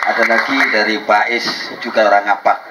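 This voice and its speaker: A man giving a formal speech with a religious opening at a community gathering, measured and lightly joking. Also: lowish voice, level tone. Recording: noisy event recording.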